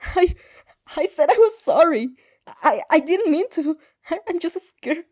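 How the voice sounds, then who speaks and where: A woman's voice: extremely high pitch, lively intonation, mellow, highs cut off, voice pushed up. A woman voicing a terrified young woman who pleads and apologizes in a weak, stammering, tearful voice. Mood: panicked pleading. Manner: halting, apologetic.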